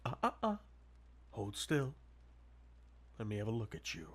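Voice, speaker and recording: A man playing a sadistic, possessive vampire lord in an ASMR roleplay, suave and mock-polite, with a breathy, purring, theatrical delivery. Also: on the low side, very animated, measured pace. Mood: seductive, admiring. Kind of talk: ASMR audio roleplay, intimate dramatic monologue.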